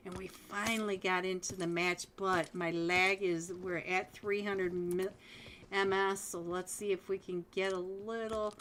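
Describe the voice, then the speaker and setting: A woman casting a mobile esports match live as a solo caster, chatty and talking straight to viewers, with a voice she says is going hoarse. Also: medium pitch, flat delivery, measured pace, a bit bright, slight background noise. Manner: chatty, talks straight to viewers, frequent restarts. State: losing her voice. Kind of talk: live game commentary.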